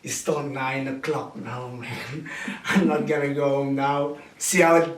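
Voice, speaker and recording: A man in his late twenties with a Cape Town South African accent, giving a personal testimony in a preaching, storytelling way, in deliberate phrases with repetition. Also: medium pitch, fairly even intonation. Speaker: guest speaker giving testimony. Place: indoor room with small seated audience. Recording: roomy, distant camera mic with noise.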